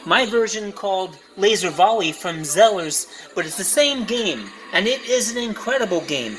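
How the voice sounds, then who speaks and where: A man with a high voice who gives a comedic retro game review in an excitable, emphatic, jokey way. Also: moderate pace, poor audio.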